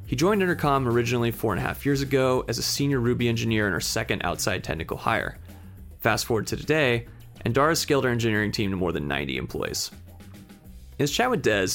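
Lively, brisk male podcast narrator. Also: mid-range voice. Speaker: podcast host introducing the show.

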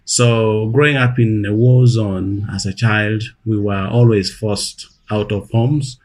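Ugandan man, 30s, interview guest; low-pitched, earnest and measured. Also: fairly even intonation, a little mellow. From northern Uganda. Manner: earnest, measured, repeats phrases for emphasis. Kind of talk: recalling his life in an interview.

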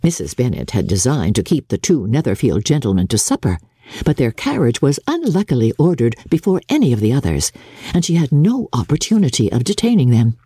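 A woman with a husky voice giving a measured, theatrical, scripted narration of a classic novel. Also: a bass voice, sing-song, warm tone, no echo, studio quality. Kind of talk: dramatic scripted audiobook narration.

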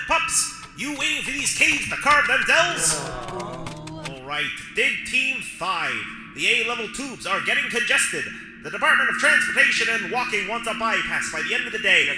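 A gruff mole foreman barks out orders to his digging crew. His voice sits high and sounds roomy, with loud music under it. He is bossy and impatient in this audio drama. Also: lively intonation, normal speed. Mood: barking orders.

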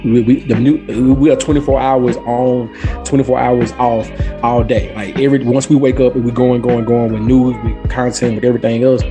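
A man's voice: mid-range voice, little pitch movement, moderate pace, over music. A man speaking as a podcast guest, reasoning aloud in a casual, rambling debate style.

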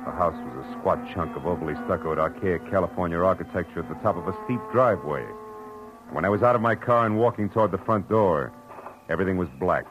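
An actor playing a hard-boiled, wry private detective, clipped and dry, in 1940s radio drama style on a dull old recording. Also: male voice, low-pitched, a little fast, soft background music, lightly acted. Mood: brooding narration. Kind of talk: 1940s radio acting with noir narration.

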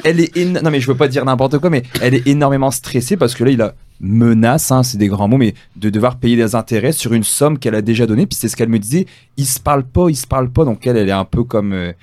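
A man's voice: mid-range voice, fast, hardly any pauses, a little mellow, no room sound. A man from France with a slight accent from there, chatting casually as a podcast interviewer. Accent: French from France, slight. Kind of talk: casual conversational chat.

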